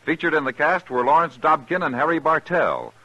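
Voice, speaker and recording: Forceful, husky American radio announcer. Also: male voice, on the high side, lively intonation, a little fast. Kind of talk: 1950s radio announcing, reading credits. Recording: dull, hissy 1950s radio recording.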